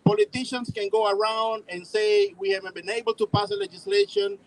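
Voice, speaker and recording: A man's voice: sits high, fairly even intonation, fairly quick, poor audio. A man speaking at an outdoor press conference, firm and urgent. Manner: firm, urgent call to action. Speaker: TPS organizer.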